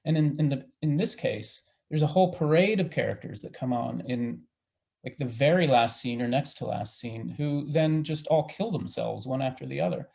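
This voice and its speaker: A man speaking as a theater director in a panel discussion, halting and thinking aloud as he goes. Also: medium pitch, vocal fry, dark tone. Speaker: artistic director of a radio play company. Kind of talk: panel discussion, casual talk.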